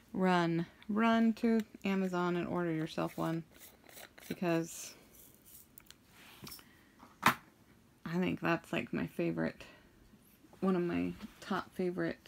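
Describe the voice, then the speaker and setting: Slow, low-voiced woman rambling while crafting. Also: creaky, a little hiss or hum. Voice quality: deep, somewhat monotone voice. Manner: slow, meandering, many ums and trailing thoughts. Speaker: craft vlogger.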